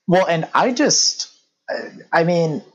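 A woman's voice: fairly low pitch, expressive, slow, lo-fi sound. A woman novelist chatting casually and animatedly, laughing often and using lots of fillers. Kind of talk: casual podcast chat.

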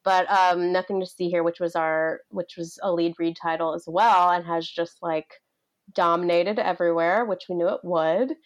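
A woman's voice: on the low side, fairly even intonation, moderate pace. Casual, enthusiastic woman podcast host. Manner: enthusiastic, complimentary. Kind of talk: casual interview chat.

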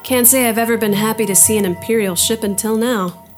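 A woman's voice: fairly high pitch, fairly even intonation, a little fast, echoey room, lightly acted. A woman playing a wry, plucky lone scavenger in a science fiction audio drama, muttering to herself. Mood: wry, resigned. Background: music.

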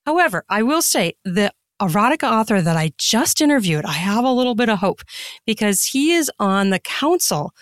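A woman's voice: mid-range voice, very animated, normal speed, no echo, clean studio sound. A woman chatting casually and emphatically as a guest in a podcast interview.

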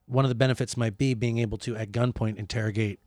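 A man co-hosting a satirical comedy chat, deadpan and mock-serious, often restarting his sentences. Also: mid-range voice, quick pace, dry recording, clean studio sound. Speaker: podcast co-host.

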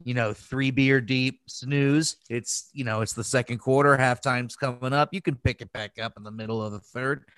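A man's voice: mid-range voice, fairly even intonation, normal speed, few pauses, fairly bright tone, smooth, no room sound. A man co-hosts a casual podcast in a close, dry recording. He talks in loose, bantering chat and swears freely. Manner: profane, bantering. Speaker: podcast co-host.

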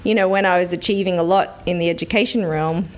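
Young-sounding woman, animated chat. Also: lowish voice, quick pace. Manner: animated, slips into playful quoted voices. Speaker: children's entertainer guest. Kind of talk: casual interview chat.

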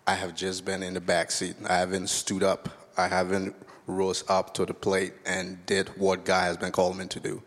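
A man from Liberia sharing his testimony on a church stage in a low, grounded voice. He speaks earnestly and candidly, reflecting as he goes. A stage mic carries him into a big hall with a long reverb. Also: completely flat delivery, moderate pace, a bit bright. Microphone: stage microphone.

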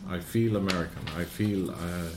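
A man with an Irish accent, reflecting haltingly in an interview with many fillers and restarts. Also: low voice, steady tone, reverberant, noisy background. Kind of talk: reflective oral history interview.

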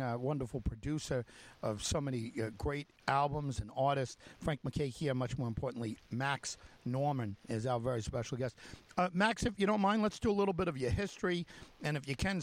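A man hosting an interview, introducing and questioning his guest in measured short phrases. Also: medium pitch. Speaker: interview host. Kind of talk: radio-style interview hosting.

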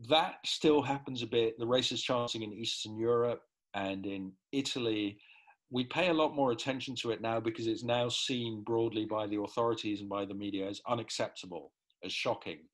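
A man's voice: medium pitch, moderate pace, poor audio. A middle-aged man giving an articulate, analytical explanation as an interview guest. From grew up in the Netherlands. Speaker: interview guest, football writer.

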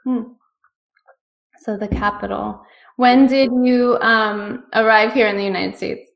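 A woman's voice: fairly high pitch, fairly even intonation, unhurried, creaky, dull audio. A woman in her thirties hosting a podcast, asking questions in a chatty way and thinking aloud with fillers. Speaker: podcast host. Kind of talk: conversational interview.

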